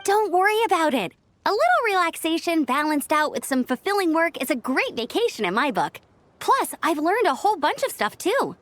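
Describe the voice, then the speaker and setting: A woman's voice: extremely high pitch, sing-song, normal speed, a bit bright, exaggerated voice. A woman voicing an upbeat young scout in a video game, youthful, breezy and confident. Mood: cheerful. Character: upbeat, energetic young female scout, eager to help.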